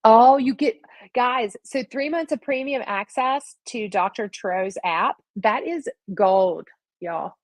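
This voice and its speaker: A woman's voice: a little high, takes it slowly, no room sound. A young woman chatting casually on a video call, upbeat and talkative, speaking straight to the viewers. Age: young adult. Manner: chatty, upbeat, addresses viewers as guys and y'all. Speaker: guest. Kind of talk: casual live-stream chat.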